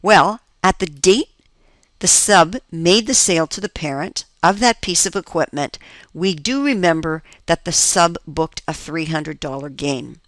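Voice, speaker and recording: Woman, instructor, slightly low pitch, dry close sound, methodical lecture delivery, self-answered questions. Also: expressive, measured pace, dry recording. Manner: step-by-step, asks and answers her own questions. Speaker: accounting instructor. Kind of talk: explanatory lecture for an instructional video.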